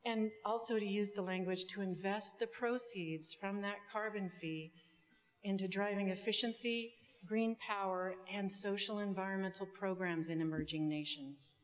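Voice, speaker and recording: A middle-aged woman giving a prepared commencement speech as a student speaker, measured and deliberate, with some ad-libs. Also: mid-range voice. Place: indoor auditorium stage. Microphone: podium microphone. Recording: long hall reverb from stage sound system.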